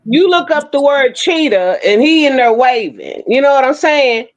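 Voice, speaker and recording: A woman in her fifties chatting frankly on an online panel, telling her own stories with lively, blunt humor. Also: high-pitched, moderate pace. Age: 50s. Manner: frank, animated storytelling with Southern-inflected slang. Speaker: panel co-host. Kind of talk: casual chat. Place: Oklahoma City.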